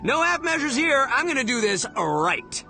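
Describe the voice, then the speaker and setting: Brash, boisterous gang boss with wild swings. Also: male voice, very high voice, very animated, normal speed, exaggerated voice. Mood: eager. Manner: boisterous, cocky, hammy. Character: brash, goofy, hot-headed male gang boss, big-hearted. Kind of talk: anime video game voice acting. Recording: loud music behind the voice.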